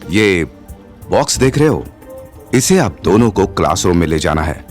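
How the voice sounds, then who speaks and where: A man playing a stern, teasing, smug college professor in an audio drama, measured and sardonic, mixing English and Hindi. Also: deep voice, sing-song, faint music behind. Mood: commanding.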